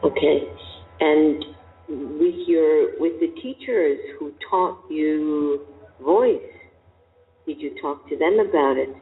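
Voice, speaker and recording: A woman voice teacher asking probing questions and explaining her method in a deliberate, emphatic way. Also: sits low. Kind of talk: coaching conversation. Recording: tinny, echoey hall.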